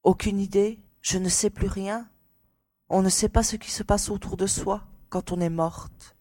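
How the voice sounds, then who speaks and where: A woman playing a frightened but composed young lady giving testimony in a dramatised audiobook, with a breathy voice. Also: medium pitch, fairly even intonation, measured pace, dark tone, close to an everyday voice. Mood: shaken.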